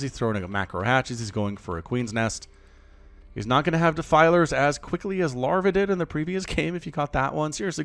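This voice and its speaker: A man in his thirties giving solo play-by-play commentary on a strategy game match, chatty and excitable with jokey asides. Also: medium pitch, no room sound. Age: 30s. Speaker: game caster.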